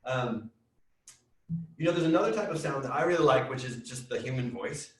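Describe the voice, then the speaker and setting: Excitable man teacher in an echoey room. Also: mid-range voice, a little fast. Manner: chatty and excitable, explaining with lots of fillers. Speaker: music teacher. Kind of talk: teaching a children's science class online. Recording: echoey room, distant mic.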